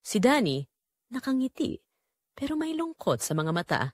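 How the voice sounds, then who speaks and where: A woman's voice: high voice, big pitch swings, moderate pace, a little mellow, dry recording, studio quality. A woman reading a scripted first-person romance story in a dramatic storytelling manner. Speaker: audiobook narrator.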